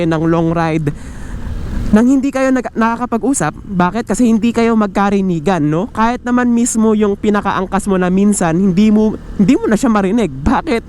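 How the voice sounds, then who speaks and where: A Filipino man with a high-pitched voice chats to his viewers in a casual vlog style, slipping between his language and English. He is picked up on a helmet mic, with faint road and vehicle noise around him. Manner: chatty, addresses viewers directly. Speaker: motorcycle vlogger and gear reviewer. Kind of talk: casual vlog review mixing Tagalog and English. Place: outdoors, riding a motorcycle on the road. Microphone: microphone clipped inside a helmet.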